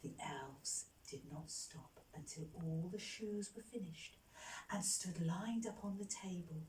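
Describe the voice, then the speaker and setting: A woman reading a children's storybook expressively, with dramatic pauses and shifts in delivery. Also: on the low side. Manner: dramatic pauses, exclaiming and dropping into whispers. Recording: roomy echo, distant mic, faint noise.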